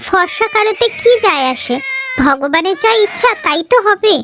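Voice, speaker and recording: A woman voicing a gentle, sorrowful mother in a cartoon, pleading in defence of her daughter. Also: extremely high pitch, expressive, normal speed, noisy background. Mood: tearful pleading. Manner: pleading, philosophical.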